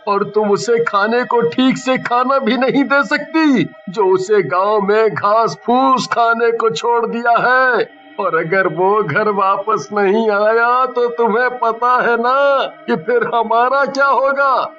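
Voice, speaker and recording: Man acting a high-voiced, plaintive village husband. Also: slow, pushed and bright, heavily acted. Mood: upset, scolding. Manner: plaintive, exclaiming, scolding. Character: worried, grumbling village camel owner, husband. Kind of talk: dramatized bedtime story. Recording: muffled lo-fi audio with music.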